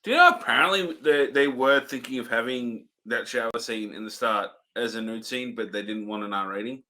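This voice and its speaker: A man's voice: medium pitch, fairly quick, sharp tone. A man co-hosting a podcast, chatting in a laid-back way and chuckling often. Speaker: podcast co-host. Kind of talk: casual chat.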